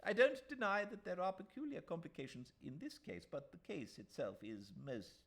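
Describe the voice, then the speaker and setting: An elderly English gentleman solicitor, pitched well above a natural low register. He is fussy and self-assured, with animated intonation. His delivery is formal, emphatic and complacent, as in a classic audiobook. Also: moderate pace, gravelly, full character voice. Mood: confident, reassuring. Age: older. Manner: emphatic, formal, repeats himself pompously. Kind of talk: audiobook character voice.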